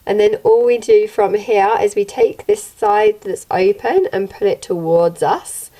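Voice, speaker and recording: Young woman, slightly croaky, gentle steady instructions, home tutorial. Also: medium pitch, measured pace, low-quality recording. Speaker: craft channel host. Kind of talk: step-by-step tutorial narration. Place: home room, indoors.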